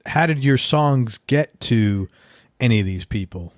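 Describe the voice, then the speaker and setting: A man's voice: medium pitch, animated, a little slow. A man interviewing a guest, asking casual questions and reacting with short affirmations.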